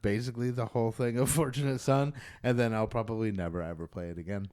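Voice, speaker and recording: A man chatting casually as a podcast host, in a rambling, halting, sweary way. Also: mid-range voice, fairly even intonation, a little mellow. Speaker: podcast host and band bass player. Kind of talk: casual chat.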